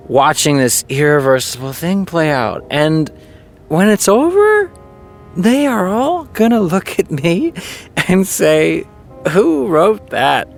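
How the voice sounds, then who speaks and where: Actor doing an anxious young writer in an audio drama, gravelly, slow, and halting, with a lively swing in pitch. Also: male voice, exaggerated voice. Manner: halting, wry, low-spirited. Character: anxious young male writer, self-doubting.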